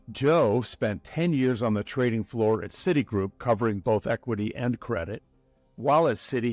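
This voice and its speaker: A man's voice: fairly low pitch, a little mellow, dry recording. A man hosting a podcast interview, chatty, often repeating words as he starts a thought. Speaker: podcast host.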